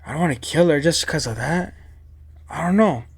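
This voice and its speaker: Slightly high-voiced casual male reactor. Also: expressive, moderate pace, creaky, slightly rough. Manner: informal, slangy. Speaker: reaction video host. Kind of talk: casual gaming-style reaction commentary.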